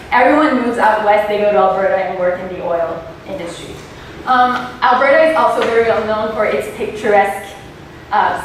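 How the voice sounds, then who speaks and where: A young woman with a French Canadian accent, a native French speaker, giving an informal student presentation in a nervous, chatty way. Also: medium pitch. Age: young adult. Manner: nervous, self-conscious, chatty. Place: echoey indoor room, recorded from a distance. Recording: very echoey room, distant mic, faint noise.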